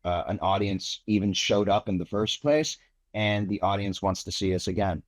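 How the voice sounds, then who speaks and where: A man's voice: fairly low pitch, little pitch movement, normal speed. A young man from Miami, an actor giving earnest interview answers with many fillers and restarts. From Miami, United States.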